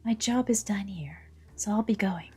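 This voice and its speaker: A woman voicing a composed female police agent, polite and matter-of-fact, in a soft breathy voice. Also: mid-range voice, normal speed, soft background music. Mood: cool, formal.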